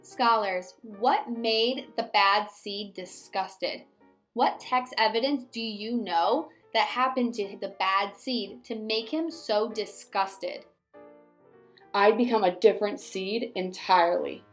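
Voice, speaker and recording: Expressive woman teacher with a higher voice. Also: takes it slowly, reverberant, faint music behind. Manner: animated, expressive, addressing young children. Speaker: elementary school teacher. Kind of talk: children's read-aloud lesson with questions.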